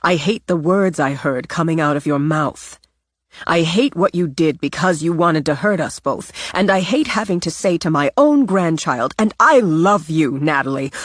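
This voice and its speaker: A woman voicing a calm, firm older grandmother who speaks in a measured, steady way. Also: lowish voice, no room sound, clean studio sound.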